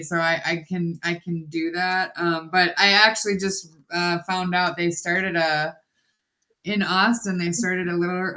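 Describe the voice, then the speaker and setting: Bright-voiced woman chatting on a call. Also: fairly low pitch, low-quality recording. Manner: chatty, self-interrupting, laughing asides. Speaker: call member. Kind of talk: casual group video call. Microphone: video call.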